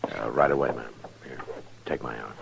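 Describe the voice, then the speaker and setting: An actor playing a laconic, steady Old West lawman in a radio drama, terse, calm and courteous. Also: extremely low pitch, moderate pace, creaky, a little hiss or hum, full character voice. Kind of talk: 1950s radio western acting.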